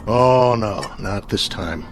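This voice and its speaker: A man's voice: fairly low pitch, slow, noisy background. An actor playing a selfish, desperate crewman stranded in space, sardonic and complaining, in a radio drama. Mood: defiant.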